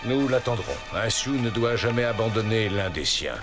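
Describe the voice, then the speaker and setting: Man acting a lowish, grave cartoon chief. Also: animated, moderate pace, lightly acted. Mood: grave, resolute. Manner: solemn, dignified. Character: grave, dignified Sioux leader. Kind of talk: animated series acting. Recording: music underneath.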